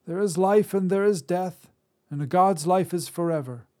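A man's voice: sits high, moderate pace, dark tone, pitched above the performer's own voice, lightly acted. A man voicing an old, weary witch woman who speaks in a wry, mocking, lilting way.

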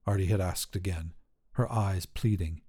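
A man's voice: low-pitched, normal speed, dark tone, breathy edge. A man narrating scripted short fiction as the host of a story podcast.

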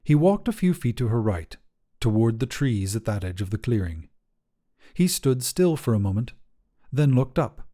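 A man's voice: mid-range voice, sing-song, moderate pace, warm tone. A man narrating a scripted short story as a fiction podcast reader.

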